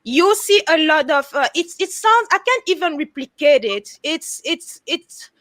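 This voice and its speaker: High, bright-voiced woman, French native. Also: measured pace, no room sound, clean studio sound. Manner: animated, laughs often. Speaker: tarot reader guest. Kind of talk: casual live chat.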